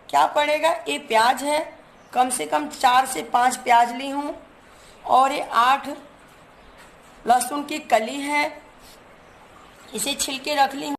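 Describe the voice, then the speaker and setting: Hoarse woman hosting a home cooking video, chatty step-by-step explainer, slight fry in her voice. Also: sits high. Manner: chatty, explains each step while showing ingredients. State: hoarse from a cold. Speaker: cooking channel host.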